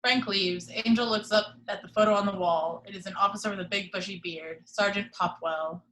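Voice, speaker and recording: A woman reads stage directions at a table read in a mid-pitched voice. Her delivery is even and measured. She is heard over a video call. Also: rough recording. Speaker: narrator reading stage directions. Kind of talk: reading action description.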